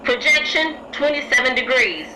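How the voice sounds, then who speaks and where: A woman's voice: high voice, lively intonation, moderate pace. A woman playing a commanding army artillery officer in a radio drama, barking clipped orders. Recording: noisy radio play battle ambience.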